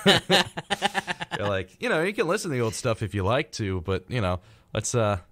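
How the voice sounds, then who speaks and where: A man's voice: mid-range voice, lively intonation, a little slow, fairly bright tone, no room sound. A young man co-hosting a radio show, chatting casually and jokily and acting out imagined remarks. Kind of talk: casual chat.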